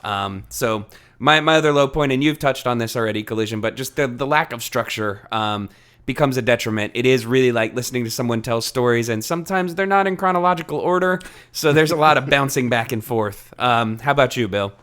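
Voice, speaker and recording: A man's voice: mid-range voice, fairly quick, fairly bright tone, smooth. A man hosting a podcast, talking casually through a book discussion in a conversational way. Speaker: podcast host.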